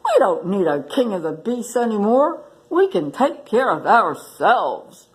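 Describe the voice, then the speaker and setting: Storybook water buffalo, woman reader. Blunt, bold, confrontational, lively intonation. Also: medium pitch, unhurried, rough recording. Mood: firm.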